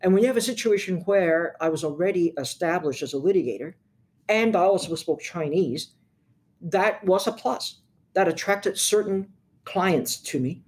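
An older woman from Hong Kong speaks as a seasoned lawyer in an interview. She answers at a measured pace, frank and direct, and lands her key points with emphasis. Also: fairly low pitch, expressive, a little mellow.

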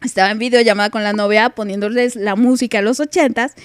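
High-voiced, playful Mexican woman host. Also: fairly even intonation, fast, smooth, no echo, clean studio sound. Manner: playful, teasing, laughs often. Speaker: radio podcast host. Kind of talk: casual chat on a live stream.

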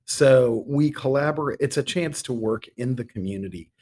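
A middle-aged man answering interview questions as a guest, measured and given to emphatic repetition. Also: mid-range voice, darkish tone. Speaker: interview guest, theater artistic director.

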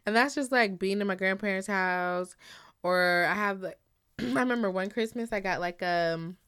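A woman's voice: medium pitch, normal speed, fairly bright tone, clear, resonant tone, no echo, clean studio sound. A woman from Guyana co-hosting a podcast, gossiping casually with slang and acted-out quotes. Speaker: podcast co-host.

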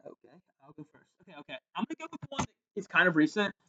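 Young man, eighteen, loud and rambling, drawl, casual school podcast co-host. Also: high voice, big pitch swings, rapid-fire, highs cut off, a little hiss or hum. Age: young adult. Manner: loud, rambling, self-interrupting. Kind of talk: casual chat.

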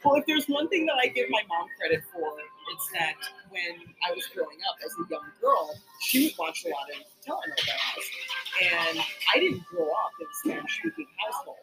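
A woman from Chicago hosting a casual podcast chat, upbeat and quick to laugh. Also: on the low side, very animated, over music, low-quality recording. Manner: laughs often, chatty and upbeat. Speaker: podcast host.

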